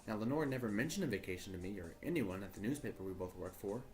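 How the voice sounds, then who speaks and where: A man playing an earnest, troubled journalist, narrating a horror audio drama in a deliberate, formal storytelling way. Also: on the low side, animated, a little mellow, faint music behind, close to an everyday voice. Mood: grave, earnest. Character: earnest, troubled male journalist recording his search for missing partner. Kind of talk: first-person horror audio drama monologue.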